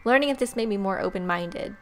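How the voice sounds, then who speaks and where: A woman's voice: mid-range voice, brisk, a bit bright, a little hiss or hum. A young adult woman hosting a let's play, reading visual novel text aloud expressively with casual, sarcastic reactions. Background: game music.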